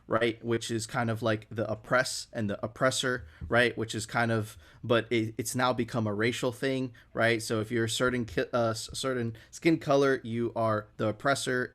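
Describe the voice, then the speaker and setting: A man from Venezuela speaks in a relaxed podcast discussion. His voice sits at an ordinary pitch. He stumbles over repeated words and keeps checking in with "right?". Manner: repeats words as he restarts sentences, often tags on "right?". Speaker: podcast co-host.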